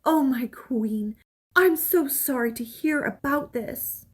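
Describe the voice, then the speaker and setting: An actress playing a gentle, anxious servant to a queen in an audio drama, deferential and pleading. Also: sits high, slow pace, slightly rough, full character voice. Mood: sympathetic.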